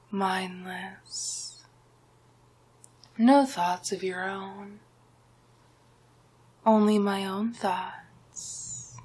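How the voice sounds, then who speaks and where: A woman voicing a smug, domineering male sorcerer villain in an ASMR roleplay, breathy and often whispering, with a deliberate, hypnotic delivery. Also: medium pitch, darkish tone. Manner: slow, deliberate, gloating, hypnotic.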